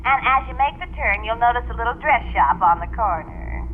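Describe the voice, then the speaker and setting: A dithering, talkative housewife acted by a woman in a classic radio comedy. Her high voice swoops about in a flustered, self-correcting way over a tinny, phone-like recording. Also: lively intonation, a little fast, a little hiss or hum, lightly acted. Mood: chatty, bright. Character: scatterbrained, chatty lady neighbour giving muddled directions. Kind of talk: 1940s-50s radio comedy acting.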